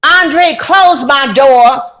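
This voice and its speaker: A woman's voice: sits high, extremely slow, fairly bright tone, full character voice. An actress playing a distracted, wry middle-aged mother in an audio drama. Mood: stunned. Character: distracted, wry mother of grown children, movie buff.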